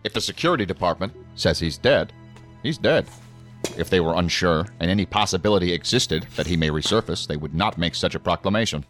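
An actor playing a stern, commanding federal agency superior in a science fiction audio drama, speaking in a formal, clipped, authoritative way. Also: male voice, sits low, lively intonation, fairly quick, fairly bright tone, faint music behind. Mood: stern, official.

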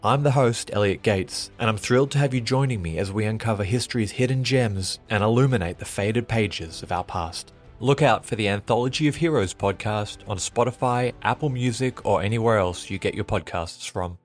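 A man's voice: on the low side, moderate pace. A man hosting a podcast, reading a scripted promotional spot for his show. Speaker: podcast host. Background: music bed.

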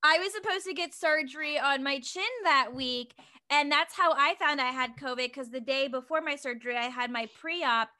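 A woman's voice: high voice, moderate pace, pushed and bright. A woman hosting a podcast in casual chat, chatty and giggly, with lots of "like" fillers. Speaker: podcast host.

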